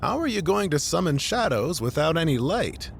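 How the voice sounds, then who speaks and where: A man voicing a cocky, playful male mercenary gamer in an audiobook, with a brash, excitable manner. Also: fairly high pitch, moderate pace, vocal fry, slight background noise, voice pushed up. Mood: teasing. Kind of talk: audiobook character dialogue.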